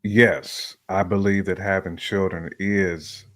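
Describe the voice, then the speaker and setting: A man's voice: low-pitched, level tone, slow pace, fairly bright tone, dry recording, clean studio sound. A middle-aged man in casual, colloquial conversation, giving his views as a panelist on a talk show. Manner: informal, colloquial, laughs while talking. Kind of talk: casual opinionated chat.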